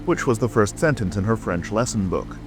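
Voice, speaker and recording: A man narrates a classic storybook in a fairly low voice. His dramatic reading moves through a wide, lively range of pitch, with music clearly playing underneath. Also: brisk. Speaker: audiobook narrator. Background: music, rain ambience.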